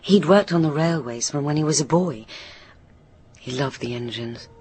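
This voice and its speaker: A woman's voice: sits low, normal speed, a little mellow, close to an everyday voice. A British woman playing a troubled, hesitant daughter of a railway signalman in a naturalistic radio drama. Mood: reflective. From Britain. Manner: hesitant, self-correcting, reflective. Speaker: actor in a radio play.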